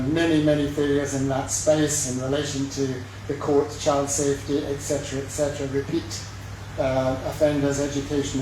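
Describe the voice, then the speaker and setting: A man's voice: on the high side, darkish tone, a little hiss or hum. Scottish man speaking in an echoey hall. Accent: west of Scotland. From west of Scotland. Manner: halting, many fillers, self-deprecating jokes. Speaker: mayoral candidate. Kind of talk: campaign speech at a forum. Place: large echoey sports hall. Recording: very echoey hall recording from far off.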